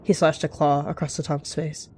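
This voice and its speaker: A man's voice: on the high side, little pitch movement, normal speed, a little mellow, slightly rough. A man hosting a roleplay, narrating the story in a dramatic storytelling manner. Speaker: host and lead roleplayer. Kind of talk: scripted-sounding story narration with character dialogue.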